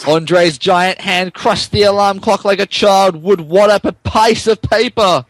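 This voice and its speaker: Slow, higher-pitched man narrating mock-dramatically. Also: no room sound. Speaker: podcast narrator. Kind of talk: mock-dramatic reading of wrestling fan fiction.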